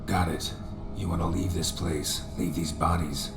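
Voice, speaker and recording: An actor playing a gruff, weary monster hunter in a video game, speaking in terse, dry, low gravelly tones. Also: male voice, little pitch movement, unhurried. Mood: terse, suspicious. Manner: terse, dry, clipped delivery. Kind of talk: video game character dialogue. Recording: roomy reverb, loud game music behind.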